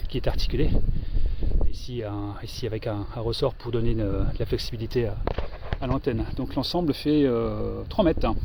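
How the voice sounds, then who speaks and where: A man giving an informal hands-on equipment demonstration as an amateur radio operator, explaining things hesitantly and pausing to find his words. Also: medium pitch, noisy background.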